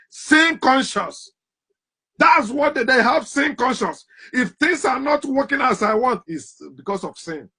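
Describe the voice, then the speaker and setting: A man giving a forceful, preaching monologue full of rhetorical questions, his loudness rising and falling sharply. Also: high voice, husky. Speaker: commentator.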